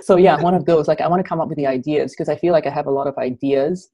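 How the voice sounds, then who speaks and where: A woman's voice: low voice, fast. A woman in her thirties from Malaysia, chatting and laughing easily as a guest on a video call, speaking through an earphone mic in a small room at home. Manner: relaxed, giggly, chatty. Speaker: guest content creator. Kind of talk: casual video call interview.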